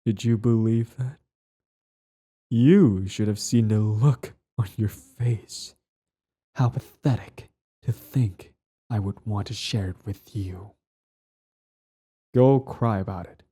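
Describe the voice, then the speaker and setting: A man acting a cold, cruel young prince in an ASMR roleplay, condescending, menacing and deliberate, slipping toward soft whispers. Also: fairly low pitch, husky. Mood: mocking, sadistic. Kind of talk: ASMR roleplay drama, scripted monologue.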